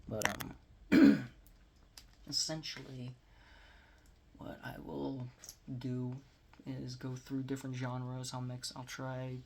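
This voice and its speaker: Gravelly Texan man, rambling to camera. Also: mid-range voice, little pitch movement, takes it slowly, long pauses, a little mellow, slight background noise. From Texas. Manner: rambling, self-deprecating, drops to near-whisper at times. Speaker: vlogger. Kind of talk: casual talk to camera. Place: small room at home with bookshelves. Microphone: phone or webcam close to face.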